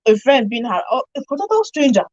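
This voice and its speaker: A woman's voice: on the high side, very animated, brisk, darkish tone, no echo. Woman discussing, dry and slightly dull audio. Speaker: radio show panelist. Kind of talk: unscripted discussion. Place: radio studio.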